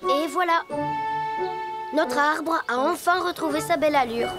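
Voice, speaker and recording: A woman's voice: sits high, unhurried. A woman voicing an earnest, caring young boy hippopotamus in a children's cartoon. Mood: satisfied. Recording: music behind the voice.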